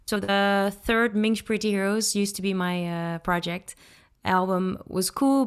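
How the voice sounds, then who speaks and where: A woman from the Netherlands with a Dutch accent, a singer-songwriter answering interview questions in a relaxed, thoughtful way with frequent ums and restarts. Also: medium pitch, clean tone.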